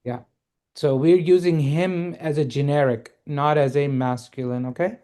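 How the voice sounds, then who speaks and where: A man with a fairly high voice leads a reading lesson. He explains step by step in a patient, measured way. He keeps asking his students whether they follow. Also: steady tone, mellow. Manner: patient, explaining, checks understanding with "right?" and "okay?". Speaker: Arabic teacher.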